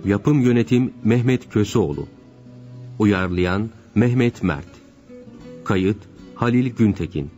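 Low-voiced man announcing over music. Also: lively intonation, slow pace, pauses often, warm tone. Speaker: radio drama credits announcer. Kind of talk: slow scripted credits announcement. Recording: dull lo-fi radio broadcast. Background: plucked-string music.

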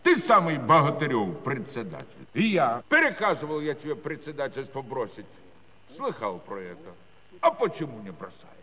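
A man's voice: mid-range voice, big pitch swings, sharp tone, big-room sound. An actor playing a proud, earnest village chairman, telling his story in a folksy in a stage musical. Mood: earnest, defiant. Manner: folksy rural storytelling with dramatic pauses. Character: Cossack village chairman recounting a bandit attack, earnest and proud. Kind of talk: theatrical dramatic monologue in a musical play. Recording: 1979 studio musical recording.

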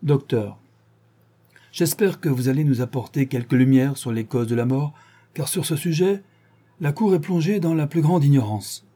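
A man's voice: medium pitch, normal speed, dark tone, husky, pitched above the performer's own voice, natural voice. A man voicing a stern, condescending court president who lectures the accused. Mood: dry. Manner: lecturing, reproachful.

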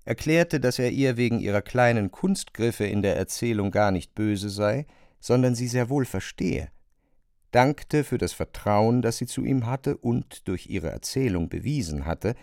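A man reads literary narration for a radio play. His mid-pitched voice is measured and articulate but moves in lively contours. The sound is very dry. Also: creaky, no echo.

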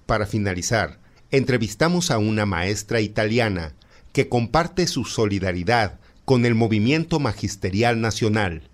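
A man narrating a news report in a measured, formal voice-over. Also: on the low side, expressive.

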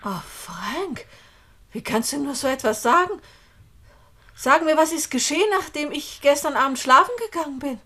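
A woman's voice: high-pitched, expressive, normal speed, fairly bright tone, slight background noise. An actress playing an anxious, insistent young woman in a radio drama, with an urgent, imploring delivery. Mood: distressed. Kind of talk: German radio play acting.

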